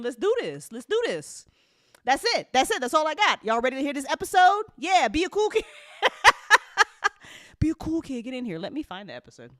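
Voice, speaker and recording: A woman hosting a live-stream listen party, chatting casually and excitably with her audience, giggly and quick to swear. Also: high-pitched, no echo, clean studio sound. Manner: hype-building, talks directly to chat. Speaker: host of a podcast listen party.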